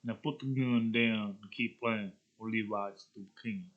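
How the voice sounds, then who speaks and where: A man voicing a rough, tough cowboy gambler who speaks in a slow, deliberate, quietly threatening way. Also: fairly low pitch, fairly even intonation, phone-quality sound, natural voice. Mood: low menace. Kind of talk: Western character script reading.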